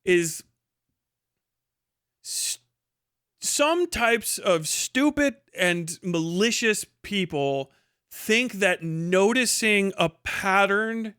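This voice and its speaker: A man's voice: sits high, expressive, very slow, pauses often, bright, forceful tone, clear, resonant tone, no echo, studio quality. A man hosting a livestream, giving rambling, emphatic and sweary opinionated commentary in casual speech. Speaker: livestream host.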